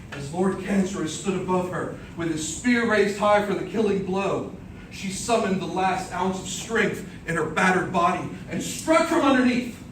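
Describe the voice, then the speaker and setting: A man with a fairly high voice tells an epic tale as a contest speech. His phrasing is theatrical, deliberate and declamatory. He is heard from a distance in an echoing room, with noticeable noise behind him. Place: indoor room before an audience. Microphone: distant microphone. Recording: roomy, distant microphone, clearly noisy background.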